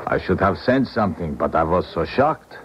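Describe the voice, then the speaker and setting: An actor playing a genial visiting foreign scientist in a 1970s radio drama, jovial and courtly in formal phrases. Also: male voice, low voice, big pitch swings, normal speed. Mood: courteous. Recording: muffled noisy old radio broadcast.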